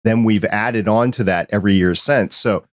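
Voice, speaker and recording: A man's voice: on the low side, moderate pace, no echo. A man hosting a podcast interview from his home studio, upbeat and conversational. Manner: upbeat, conversational, reads chat comments. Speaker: podcast host.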